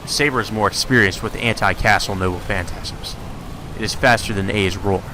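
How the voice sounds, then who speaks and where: A man's voice: lowish voice, normal speed, pushed and bright, slight background noise. A man doing a let's play, reading a visual novel aloud and breaking off for irreverent, sweary asides. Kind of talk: narrating a visual novel with crude off-the-cuff commentary.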